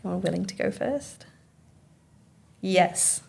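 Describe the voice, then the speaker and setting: A woman's voice: lowish voice, animated, slow, gravelly, a little mellow. A woman from Manchester with a Mancunian accent, a teacher hosting a school podcast and chatting encouragingly with pupils. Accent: Mancunian English. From Manchester, England. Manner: chatty, encouraging, lots of "like" and "okay". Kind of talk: casual interview chat with pupils.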